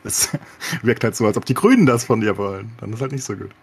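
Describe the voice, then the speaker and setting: Fast, husky, lowish-voiced man. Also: animated. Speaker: podcast co-host. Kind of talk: casual discussion.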